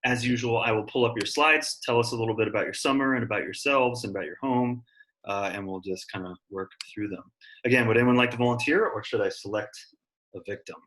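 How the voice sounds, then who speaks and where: A man's voice: medium pitch, a little fast, a bit bright, poor audio. A man teaching an online class, talking casually and encouragingly to his students. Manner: encouraging, informal. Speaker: science teacher.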